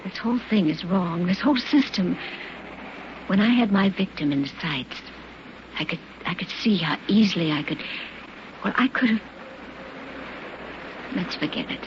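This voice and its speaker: An actress in an old-time radio drama playing a resigned, gentle young woman in her twenties, speaking haltingly and wistfully. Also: fairly low pitch, close to an everyday voice. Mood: resigned, sad. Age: 20s. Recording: muffled, very noisy old radio recording.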